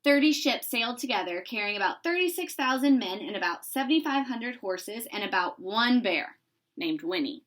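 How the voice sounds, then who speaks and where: Woman, higher-pitched voice, children's read-aloud, expressive, emphatic storytelling. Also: moderate pace. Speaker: storybook reader.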